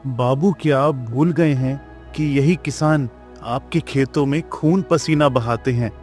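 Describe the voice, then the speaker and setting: A man's voice: mid-range voice, measured pace, a little mellow, faint music behind, lightly acted. A man voicing a worried, humble village farmer in a Hindi moral-story cartoon, earnest and pleading. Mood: earnest appeal.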